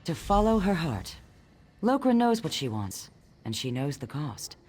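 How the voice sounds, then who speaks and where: An actress playing a wise old orc grandmother in a video game, speaking as a deliberate, sage clan elder. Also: sits low, sing-song, a little mellow, husky, exaggerated voice. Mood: sage, solemn. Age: older. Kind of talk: video game dialogue acting.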